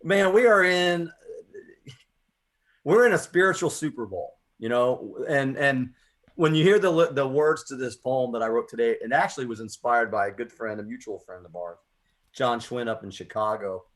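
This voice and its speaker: American guy hosting a poetry radio show, mid-pitched voice, earnest and reflective, leans on his words. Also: moderate pace, a bit bright. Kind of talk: conversational radio talk and spoken-word reading.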